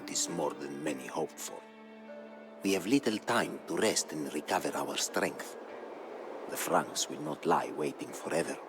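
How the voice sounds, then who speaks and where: An actor playing an aged, solemn chronicler of military campaigns, narrating a game storyline in a grave, measured way. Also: male voice, rumbling low, over music. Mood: weary. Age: older. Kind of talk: dramatic scripted storyline narration.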